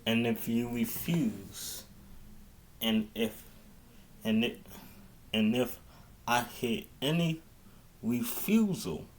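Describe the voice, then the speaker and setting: A man giving an improvised public address as a mayor, halting and often repeating and restarting his phrases. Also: medium pitch, lively intonation, a little hiss or hum.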